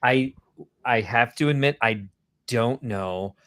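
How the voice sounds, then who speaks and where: A man's voice: medium pitch, takes it slowly, a bit bright. A man co-hosting a casual live stream, teasing his partner and playing up mock outrage. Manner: teasing, playfully dramatic. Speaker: co-host.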